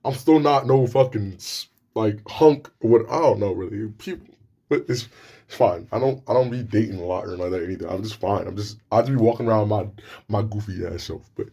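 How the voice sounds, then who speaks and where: Young male vlogger, deep, slightly slurred delivery, loose and frank, swears freely. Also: brisk, warm tone, slightly rough. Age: young adult. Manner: rambling, frank, profane, many restarts. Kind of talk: casual talk to camera.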